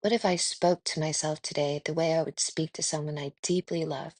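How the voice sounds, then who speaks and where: A woman leading a guided meditation in a gentle, soothing, unhurried way with pauses. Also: low-pitched, fairly even intonation, dry recording.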